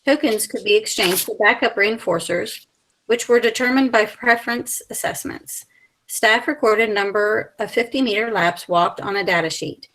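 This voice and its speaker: A woman's voice: mid-range voice, normal speed, low-quality recording. Woman in her forties reading a presentation. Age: 40s. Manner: steady scripted reading with small slips. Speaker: graduate student presenter.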